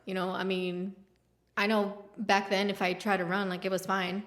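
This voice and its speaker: A woman's voice: medium pitch, little pitch movement, moderate pace, a bit bright. A woman in her late twenties, a podcast guest, chatting frankly and animatedly. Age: late 20s. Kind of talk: casual chat.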